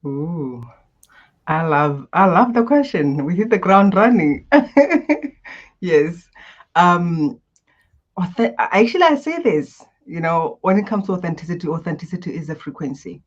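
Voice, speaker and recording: Expressive woman. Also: on the low side, unhurried, highs cut off. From Botswana. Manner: expressive, guides listeners through breathing. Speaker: guest coach. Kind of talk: animated interview answers.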